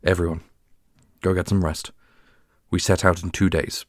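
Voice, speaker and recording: A man playing a stern, commanding ruler with a measured, formal manner in a fantasy audio roleplay. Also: deep voice, level tone, no echo, clean studio sound, lightly acted. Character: commanding male fantasy ruler, stern, loyal to his people.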